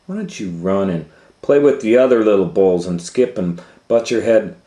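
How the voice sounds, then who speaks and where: Man reading as a gentle mother cow, echoey room. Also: on the low side, lively intonation, moderate pace, natural voice. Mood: coaxing, concerned. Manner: coaxing, simple motherly talk. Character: gentle, understanding mother cow, fond and a little worried. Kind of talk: storybook character voice. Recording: echoey room, faint noise.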